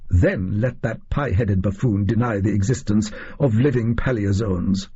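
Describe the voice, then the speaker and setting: A man's voice: lowish voice, measured pace, mellow, husky, highs cut off, voice pushed down, full character voice. A man voicing a pompous, eccentric explorer-scientist reading out his diary in clipped, self-important phrases, for an audio story. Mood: smug, matter-of-fact.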